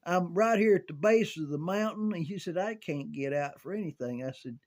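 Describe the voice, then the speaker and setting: An older man telling casual, rambling recollections in a halting, folksy way, as if thinking aloud. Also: on the high side, darkish tone. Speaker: amateur storyteller reminiscing about CB radio.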